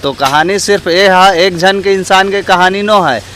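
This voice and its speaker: A man's voice: high voice, normal speed, bright, forceful tone, a little hiss or hum. A man with a Chhattisgarhi accent presenting a vlog as host, earnestly addressing viewers directly as friends. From Chhattisgarh, India. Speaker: host and street interviewer. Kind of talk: vlog presenting with public opinion questions.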